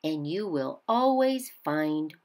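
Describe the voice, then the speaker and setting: A woman reading a children's picture book aloud in an expressive way for young listeners. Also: deep voice, takes it slowly. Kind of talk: children's storybook read-aloud.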